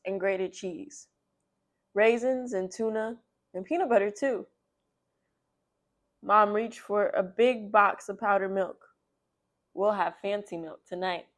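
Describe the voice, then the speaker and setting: A woman hosting a children's read-aloud, speaking in an expressive, emphatic storyteller's manner. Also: mid-range voice.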